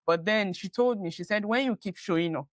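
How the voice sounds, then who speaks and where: A woman's voice: mid-range voice, a little fast, no echo, studio quality. A woman podcast host in a conversational interview, encouraging, often murmuring agreement and laughing easily.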